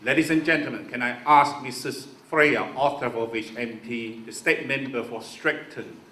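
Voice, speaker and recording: A man acts as master of ceremonies, making brisk, formal introductions of speakers. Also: medium pitch, fairly even intonation. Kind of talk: formal announcing. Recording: echoey room, loud crowd noise.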